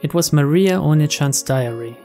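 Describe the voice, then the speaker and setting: Slow mid-pitched narrator reading story text, music behind. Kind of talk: reading visual novel narration.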